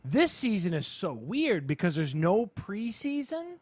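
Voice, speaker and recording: Enthusiastic, higher-pitched man chatting on a podcast. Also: big pitch swings, measured pace, dry recording. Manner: enthusiastic, emphatic, rambling. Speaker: podcast co-host. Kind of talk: casual sports and entertainment podcast chat.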